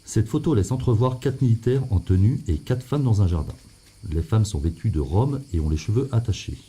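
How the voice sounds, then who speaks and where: Deep, very mellow male describer. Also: lively intonation, a little fast, breathy edge. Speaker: narrator describing photographs. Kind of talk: scripted descriptive narration.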